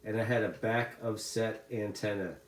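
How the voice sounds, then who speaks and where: A man's voice: on the low side, steady tone, moderate pace. A middle-aged American man chatting casually as a CB radio hobbyist, talkative and given to repeating his phrases. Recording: echoey room sound.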